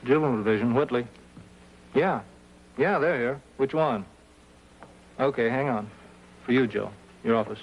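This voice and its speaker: An actor playing an easygoing, cooperative American juvenile officer in clipped 1950s police drama style. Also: male voice, mid-range voice, normal speed, long pauses, a little hiss or hum, lightly acted. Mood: casual, helpful. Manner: casual, terse, drops subjects. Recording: muffled 1950s TV soundtrack.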